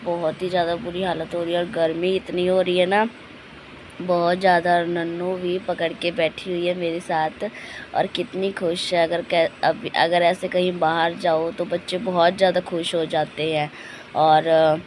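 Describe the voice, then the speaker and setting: A young woman with a hoarse, sore-throated voice, chatting casually in a vlog voice-over and speaking straight to her viewers. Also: fairly low pitch, level tone, moderate pace, darkish tone, slight background noise, low-quality recording. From Ludhiana, India. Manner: chatty, addresses viewers directly. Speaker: family vlogger.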